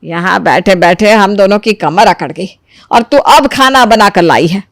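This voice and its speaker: A woman voicing a domineering middle-aged mother-in-law who scolds and complains, in an animated family moral story. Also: medium pitch, unhurried, no echo, studio quality, close to an everyday voice. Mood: complaining.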